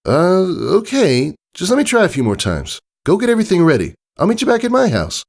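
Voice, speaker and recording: A man's voice: high voice, wildly swooping pitch, brisk, voice pushed up, exaggerated voice. A man voicing a stubborn, determined young tomboy filly pegasus in a storybook reading, with a defiant, insistent manner. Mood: casual. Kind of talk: audiobook character voice.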